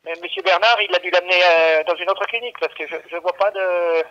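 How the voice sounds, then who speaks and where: A man's voice: high voice, steady tone, a little fast, bright, forceful tone. A man working at a vet clinic on a phone call, hesitant and stammering, repeating himself as he patiently explains. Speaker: veterinary clinic staff taking a call. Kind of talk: unscripted phone conversation. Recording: dull, lo-fi phone line.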